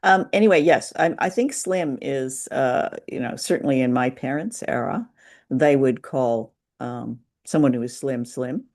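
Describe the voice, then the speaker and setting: A woman hosting a casual podcast discussion, chatty and deliberate, pausing often as she talks. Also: a bass voice, darkish tone. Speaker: podcast host. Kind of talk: casual conversational discussion of old radio drama.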